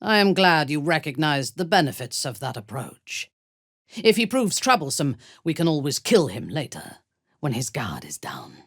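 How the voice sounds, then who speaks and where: An actress playing an imperious, ruthless female drow commander in a video game, haughty and measured, with menace and seduction. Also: low-pitched, sing-song, breathy edge, dry recording, clean studio sound, heavily acted. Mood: seductive, scheming. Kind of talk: scripted video game voice acting.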